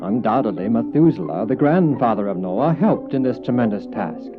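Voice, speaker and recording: A man giving formal, measured scripted narration for a dramatized Bible story. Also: mid-range voice, lively intonation. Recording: muffled old cassette, music behind.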